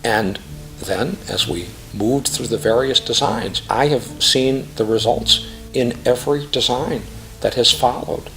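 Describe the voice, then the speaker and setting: A middle-aged man speaking earnestly and emphatically in a reflective interview testimonial, phrasing deliberately. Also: medium pitch, fairly bright tone, faint music behind, low-quality recording. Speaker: classical music professional giving a testimonial.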